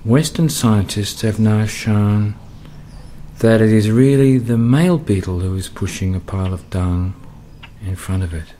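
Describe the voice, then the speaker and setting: An Australian man narrating a radio play in a brooding, measured way. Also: lowish voice, warm tone, a little hiss or hum.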